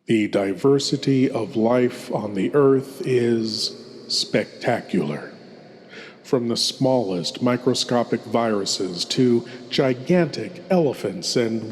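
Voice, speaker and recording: A man playing a suave, sinister horror host, delivering ominous theatrical introductions in a deliberate, grand manner. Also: mid-range voice, close to an everyday voice. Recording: long cavernous reverb.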